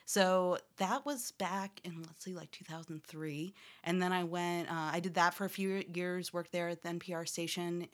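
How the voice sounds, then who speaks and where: Lowish-voiced woman, neutral American radio voice. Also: steady tone, normal speed, few pauses. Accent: none, neutral American. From Louisiana, raised in upstate New York. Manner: chatty, laughs often, trained radio delivery. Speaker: audio journalist guest. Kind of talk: casual interview conversation.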